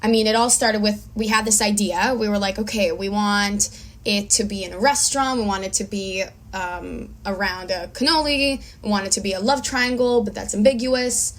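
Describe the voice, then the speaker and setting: A woman's voice: mid-range voice, moderate pace. A young woman from Israel talking casually as a filmmaker guest in an interview.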